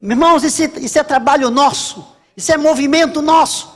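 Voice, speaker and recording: High-pitched Brazilian preacher in a reverberant hall. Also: male voice, fairly bright tone. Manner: emphatic repetition with deliberate pauses. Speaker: Baptist pastor preaching. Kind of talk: sermon. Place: large church hall during a service.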